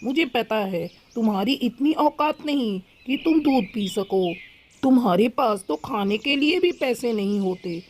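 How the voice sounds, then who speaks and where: A woman's voice: on the high side, animated, unhurried, a little mellow, slight background noise, pitched below the performer's own voice. A woman voicing an arrogant, cruel rich male crow in a children's cartoon, taunting and boastful. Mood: mocking. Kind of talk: Hindi children's cartoon dialogue.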